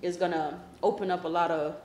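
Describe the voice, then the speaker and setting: A young woman from Kenya raised in Kansas City, chatting casually as a guest, relaxed with lots of fillers. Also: on the low side, a little fast, reverberant, slight background noise, poor audio. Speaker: photographer guest. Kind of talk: casual interview chat.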